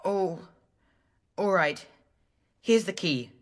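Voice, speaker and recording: Game role: a small village boy, timid yet brave, polite, earnest, halting delivery. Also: fairly low pitch. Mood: hesitant, hopeful. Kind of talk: video game character dialogue.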